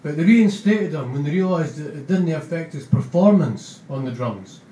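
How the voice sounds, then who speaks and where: A man's voice: a little high, animated, moderate pace, warm tone, a little hiss or hum, lightly acted. A man voicing an eager, chatty young male drummer with a Scottish accent, rambling earnestly. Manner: earnest, rambling rock trivia. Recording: roomy, distant mic.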